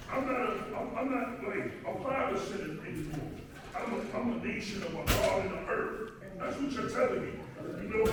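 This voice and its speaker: A man with an American accent gives an impassioned, confrontational public address, emphatic and often checking that listeners understand. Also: very high voice. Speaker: speaker at a community assembly. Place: large indoor hall, picked up from afar. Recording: very echoey hall, distant mic, clear noise.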